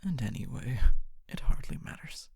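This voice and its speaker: A performer voicing a kindly, humble fantasy city guard in a soft-spoken ASMR roleplay, often whispering, with a gentle, hesitant and reassuring manner. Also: mid-range voice, sing-song, moderate pace, creaky, slightly rough, no echo, studio quality, full character voice. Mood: gentle, chatty, hesitant. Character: kindly, humble city guard, wannabe knight, calming and helpful.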